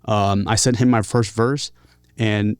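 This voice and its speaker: A man shares personal stories in a casual interview. He speaks earnestly and haltingly, often repeating words as he goes. The sound is close and dry. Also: fairly low pitch, steady tone, clean tone, no echo. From San Diego, California. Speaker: interview guest, talkbox musician and rapper.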